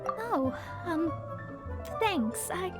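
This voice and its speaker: A woman's voice: extremely high pitch, animated, extremely slow, exaggerated voice. An actress voicing a proud young female astrologist, dramatic and easily flustered, in an anime-style video game. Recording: music playing behind.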